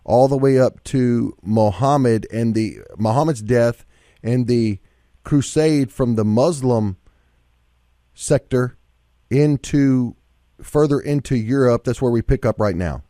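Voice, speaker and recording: A man's voice: mid-range voice, slow pace, warm tone, no echo. A man hosting a radio talk show from a studio microphone, affirming his guest and announcing in promo style. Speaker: radio host.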